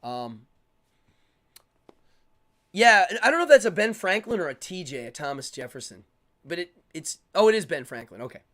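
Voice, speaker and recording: A man hosting a live stream, talking casually and opinionatedly as he answers chat, rambling and repeating words in quick bursts. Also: sits high, very animated, fairly bright tone. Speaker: live-stream show host.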